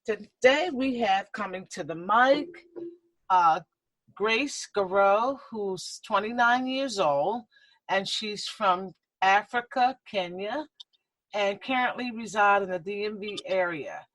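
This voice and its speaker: A woman hosts a radio talk show, welcoming a guest and asking questions. She speaks slowly and deliberately, reacting with soft affirmations. The sound is dry. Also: medium pitch, no room sound, poor audio.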